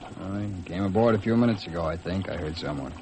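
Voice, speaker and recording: Easygoing boat passenger, American accent, low voice, chatty, 1950s radio recording, light noise. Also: male voice, fast, darkish tone. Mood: casual. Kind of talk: 1950s radio drama acting.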